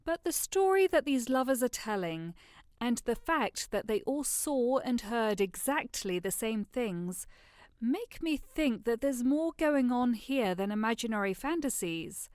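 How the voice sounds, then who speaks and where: A woman playing a thoughtful, tender-hearted noble bride in a modernized Shakespeare audio drama, speaking in a measured, earnest way. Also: a little high, very animated, darkish tone, smooth, dry recording, studio quality. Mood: pensive, intrigued.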